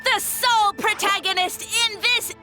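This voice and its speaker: A woman's voice: extremely high pitch, steady tone, a little slow, bright, forceful tone, faint music behind, heavily acted. A woman voicing a vain, self-proclaimed fungus god in a video game, theatrical and grandiose. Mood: grandiose, scornful.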